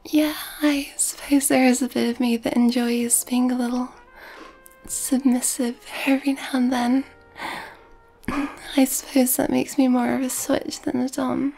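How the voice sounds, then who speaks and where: A woman playing a nurturing, gently dominant, affectionate girlfriend in an ASMR roleplay, in a soft breathy whisper. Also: sits high, little pitch movement, a little slow, soft background music, full character voice. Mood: tender, reassuring.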